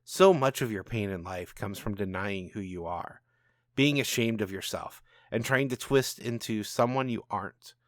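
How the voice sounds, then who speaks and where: A man voicing a calm, knowing, commanding supernatural father in a storybook reading, speaking in a measured, taunting way. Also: lowish voice, no echo, studio quality, close to an everyday voice. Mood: stern, persuasive. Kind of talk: storybook narration dialogue.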